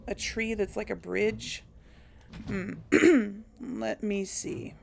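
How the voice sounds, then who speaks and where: A woman's voice: mid-range voice, drawn-out words, creaky, a little mellow, slight background noise. A woman hosting a casual let's play, thinking aloud and chuckling often. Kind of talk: casual gameplay commentary.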